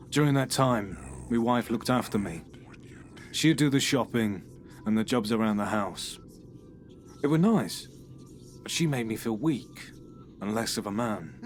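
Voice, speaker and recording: A man's voice: on the low side, animated, a little hiss or hum, natural voice. A man playing a brooding suspect. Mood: uneasy. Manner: brooding, halting confession. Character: troubled middle-aged man, paranoid and bitter. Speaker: suspect being questioned.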